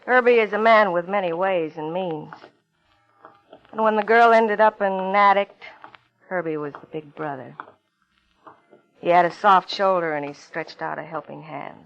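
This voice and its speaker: A woman's voice: fairly low pitch, a little slow, gravelly, pauses often. An actress playing a bitter, world-weary young woman in an old radio drama, wry and vulnerable. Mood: wry, confessional. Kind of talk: 1950s radio drama acting. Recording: dull old radio recording, music underneath.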